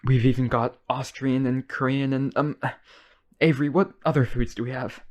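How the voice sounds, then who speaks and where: A man's voice: medium pitch, steady tone, normal speed, darkish tone, lightly acted. A man playing a lonely, obsessive, wealthy vampire brother in a boyfriend ASMR roleplay, coaxing and polite. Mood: pleading.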